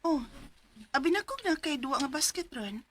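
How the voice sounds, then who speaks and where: A concerned woman, fusses and nags in a high voice. She sounds colloquial and caring in a radio drama manner. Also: expressive, normal speed, over loud music. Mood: concerned, fussing.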